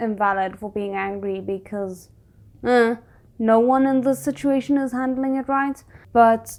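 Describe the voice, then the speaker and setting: Expressive woman with a higher-pitched voice. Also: moderate pace. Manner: expressive, informal, thinking aloud. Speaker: reaction video host. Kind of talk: casual live reaction commentary on a drama series.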